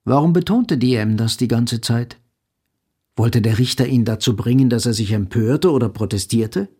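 A man giving scripted literary narration with a husky, creaky voice. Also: mid-range voice, normal speed, mellow.

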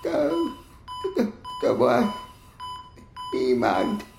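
Injured patient in shock, super slow stammer, panicky, pitch all over, loud beeps n music behind. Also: male voice, huge pitch swings, creaky, reverberant, exaggerated voice. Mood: panicked. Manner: stammering, halting, repetitive. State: panicked, distraught. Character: badly injured, terrified male hospital patient in shock. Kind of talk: radio crime drama acting.

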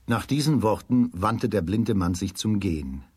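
Male narrator, lowish breathy voice, dry close sound, scripted children's storytelling. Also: lively intonation, normal speed, darkish tone, no echo.

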